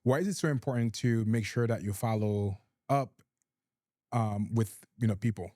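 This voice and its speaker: A man's voice: on the low side, moderate pace, mellow, no room sound, clean studio sound. A man from Haiti with a Haitian accent, hosting a talk show and asking careful questions. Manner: brief affirming backchannels, careful questions. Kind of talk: friendly interviewing.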